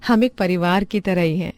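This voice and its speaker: A woman voicing a kind, humble young village wife who runs a roadside eatery in a cartoon story, speaking earnestly and gently. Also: fairly low pitch, unhurried, no echo. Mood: warm.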